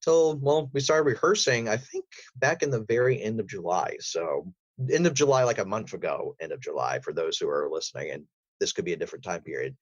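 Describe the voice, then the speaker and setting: A man's voice: fairly low pitch, brisk, breathy edge, no room sound, highs cut off. A man who works as a voice actor, talking casually as a guest in an interview.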